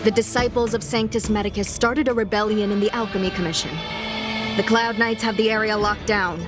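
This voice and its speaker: A woman's voice: medium pitch, steady tone, a little fast, loud music behind the voice, natural voice. An actress playing a dutiful, polite female military guard in a video game, composed and official. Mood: composed, helpful.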